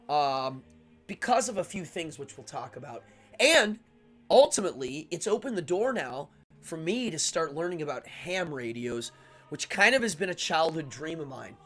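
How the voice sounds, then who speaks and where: A middle-aged American man chatting casually as host of a live stream, rambling and interrupting himself with excitable exclamations. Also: on the high side. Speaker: livestream show host.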